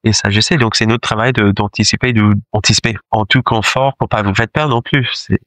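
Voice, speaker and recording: Low gravelly man, French as second language. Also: steady tone, fairly quick, a bit bright, no echo. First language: not French; French is his second language. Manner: hesitant, many fillers and restarts, laughs often. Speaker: choir director, interview guest. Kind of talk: casual interview conversation.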